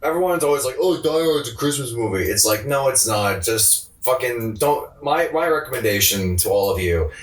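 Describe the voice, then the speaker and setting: A man's voice: mid-range voice, expressive, normal speed, few pauses, slight background noise. A man co-hosting a podcast, bantering casually in a sardonic, mock-grumpy and sweary way. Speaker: podcast co-host. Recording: roomy, echoey, distant from mic.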